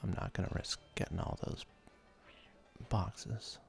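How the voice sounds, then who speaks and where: A man's voice: lowish voice, steady tone, unhurried, soft background music. A man whispering ASMR commentary as he hosts a video game let's play. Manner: soft, breathy whispering.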